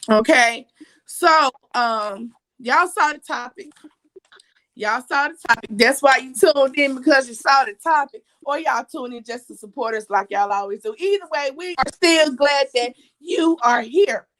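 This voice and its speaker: Raspy high-voiced woman host. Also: animated, unhurried, a bit bright, rough recording. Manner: chatty, repeats herself, reads viewer comments aloud. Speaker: livestream host. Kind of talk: casual livestream talk.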